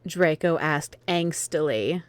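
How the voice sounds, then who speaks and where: A woman's voice: low voice, takes it slowly, smooth, dry recording. A woman narrating a dramatic reading of fan fiction, with some commentary of her own.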